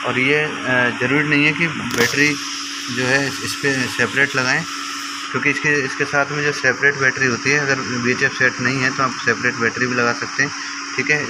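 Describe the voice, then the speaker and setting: A man presents an informal repair tutorial in a mid-pitched voice. He explains things hands-on and keeps checking that viewers follow. The amateur recording has clear background noise.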